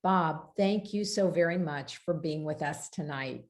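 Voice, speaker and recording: Woman, bookstore host, lowish voice, formal read introduction, video call. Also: darkish tone. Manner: measured, formal reading. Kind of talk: reading a prepared introduction. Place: home, virtual event.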